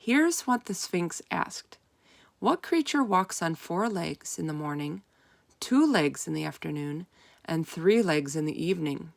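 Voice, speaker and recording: A woman's voice: on the low side, big pitch swings, measured pace, gravelly, no echo, heavily acted. A woman voicing a patient, encouraging schoolteacher in a storybook, with an instructive classroom manner.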